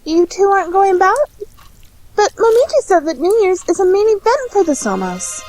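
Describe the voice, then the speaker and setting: Casting: woman as sweet, selfless schoolgirl for anime radio drama; very high, animated delivery, music underneath. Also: normal speed, poor audio. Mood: puzzled. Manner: polite, earnest, eager. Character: cheerful, selfless, earnest teenage girl. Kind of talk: amateur anime-style radio drama acting.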